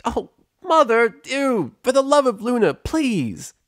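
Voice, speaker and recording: A man voicing an excitable young female pony, a scandalized daughter who exclaims dramatically, in a storybook reading. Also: very high voice, slow pace, dry recording, studio quality, pitched above the performer's own voice, heavily acted. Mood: disgusted.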